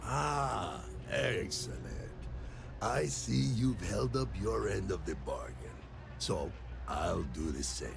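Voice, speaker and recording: An actor playing a weary, easygoing male shopkeeper in a space video game, with a gravelly, unhurried, folksy delivery. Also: lowish voice, heavily acted. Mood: weary, content. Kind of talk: video game character acting. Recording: game music behind the voice.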